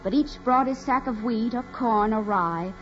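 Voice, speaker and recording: A woman's voice: fairly high pitch, moderate pace, a bit bright, lightly acted. An actress playing a naive, earnest young farm woman, hesitant and adoring, in a 1940s radio drama. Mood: wistful narration. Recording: muffled 1940s radio recording with music.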